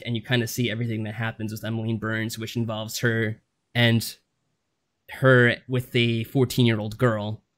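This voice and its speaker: A man with a medium-pitched voice talks as a podcast co-host. He explains things casually and mildly, in measured phrases with plenty of softening qualifiers.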